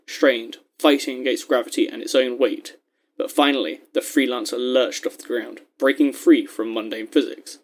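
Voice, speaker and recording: Measured male story narrator, mid-pitched.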